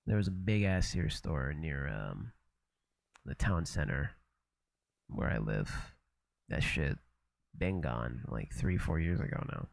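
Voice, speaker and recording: Gravelly deep-voiced streamer from Canada. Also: male voice, sing-song, slow, long pauses, dry recording. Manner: loose and profane, trailing off, repeating himself. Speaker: livestreamer reacting to a video. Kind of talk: casual reaction chat.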